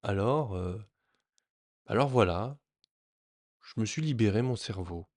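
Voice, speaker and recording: A man's voice: fairly low pitch, lively intonation, darkish tone, no room sound. Earnest man telling his story, dry sound. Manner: earnest, reflective, halting with many restarts. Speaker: vlogger. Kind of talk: personal storytelling to camera.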